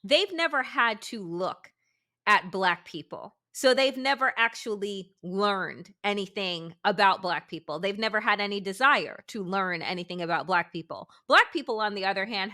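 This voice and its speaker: A woman's voice: medium pitch, pushed and bright. A woman hosting a casual political chat, speaking emphatically and frankly. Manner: emphatic, forthright commentary. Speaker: podcast host.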